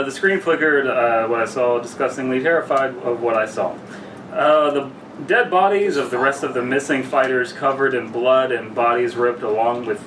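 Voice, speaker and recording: A man's voice: mid-range voice, a bit bright, far from the mic. A man talking casually with friends, blunt and heavily profane. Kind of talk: casual crude banter among friends. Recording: roomy, off-mic, noisy background.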